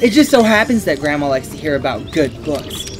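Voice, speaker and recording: A woman's voice: sits low, big pitch swings, brisk. A woman voicing a small, sweet, earnest male cartoon creature with an eager, boyish manner in a fan comic dub. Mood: sincere. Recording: music under the voice.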